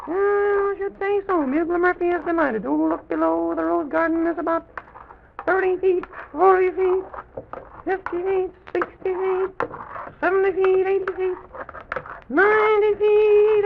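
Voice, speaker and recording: Creaky, very high-pitched little old gentleman. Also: heavily acted. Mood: excited. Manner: rambling, repetitive, exclaims "goodness me". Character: President Pip, quaint excitable little bearded old gentleman. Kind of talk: 1920s-30s children's radio serial acting. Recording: tinny, noisy old radio recording.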